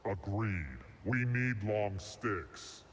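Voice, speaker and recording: A man voicing a blunt, literal, menacing heavy battle droid in a video game, monotone and clipped with robotic processing. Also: fairly low pitch, extremely slow. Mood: deadpan. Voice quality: mechanical. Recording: loud noisy game ambience, clicks.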